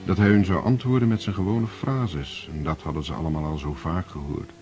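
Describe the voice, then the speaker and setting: A man narrating a radio play in a measured, grave storytelling manner. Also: deep voice, steady tone, darkish tone, faint music behind.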